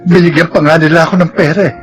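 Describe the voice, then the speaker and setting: A man's voice: fairly high pitch, fairly even intonation, fast talker, faint music behind, natural voice. A man playing an earnest, contrite and devout husband in a Filipino radio drama, speaking in a soothing dramatic way. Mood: earnest, reassuring.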